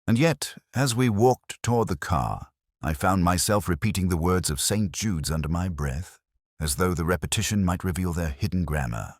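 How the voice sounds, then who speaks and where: Guy doing a really low world-weary detective narrating his case, solemn n wry, swoopy pitch, dry close sound. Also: animated, normal speed, dry recording. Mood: brooding, reflective. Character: brooding, world-weary, literary English police detective. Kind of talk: scripted first-person detective story narration.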